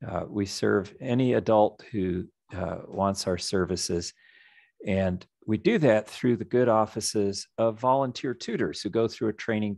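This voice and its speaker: A middle-aged man, a nonprofit executive director, giving a reflective, measured story-led presentation over a video call. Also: on the low side, vocal fry, a little mellow, dry recording, clean studio sound. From United States.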